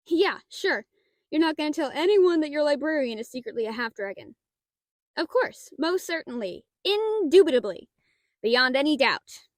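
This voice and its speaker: A woman playing a shy, nerdy young half-dragon librarian in an audio roleplay, flustered and halting, bookish and given to theatrical indignation. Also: high voice, dry recording, clean studio sound. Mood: sarcastic, exasperated. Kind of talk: audio roleplay acting, addressed to the listener.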